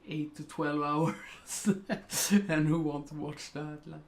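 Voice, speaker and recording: A man from Sweden with a Swedish accent, talking through a live digital painting tutorial in slow, hesitant narration full of fillers. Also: a little high, completely flat delivery.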